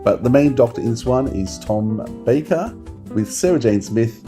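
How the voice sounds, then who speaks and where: A man's voice: medium pitch, animated, normal speed. A man co-hosting a podcast in an informal, enthusiastic way, chatting and reading out notes. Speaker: podcast co-host. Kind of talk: casual podcast chat, reading credits. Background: acoustic guitar music.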